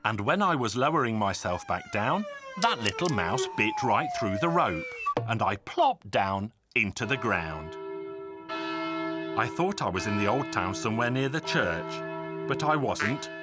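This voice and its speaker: A man playing a boastful folk hero, telling absurd tall tales in theatrical, earnest storytelling style for an animated folk tale. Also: lowish voice, measured pace. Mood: wry, animated.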